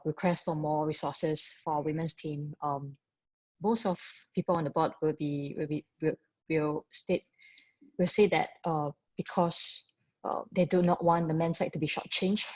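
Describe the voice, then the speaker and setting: A woman with a Singaporean accent asking a question from the audience in a halting way, repeating words. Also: low-pitched, darkish tone, dry recording. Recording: dull, lo-fi video call audio.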